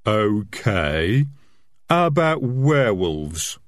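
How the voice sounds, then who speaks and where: A dim but careful male highwayman from a storybook, put on by a man reading. He is chatty and casual, plodding along with very mobile pitch. The recording is dry. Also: big pitch swings, very slow, no room sound, exaggerated voice. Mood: wary. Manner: plodding, casual, working through a list. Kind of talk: audiobook character reading.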